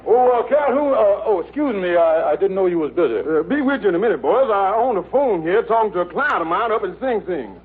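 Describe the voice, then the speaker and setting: An actor playing a bumbling, brash lawyer with hemming, folksy talk in an old radio sitcom. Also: male voice, sits high, lively intonation, brisk, hardly any pauses. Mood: brash, joking. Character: bumbling, brash shyster lawyer, comic and loud. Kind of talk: 1940s radio sitcom acting. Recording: tinny old radio recording, loud audience, heavy noise.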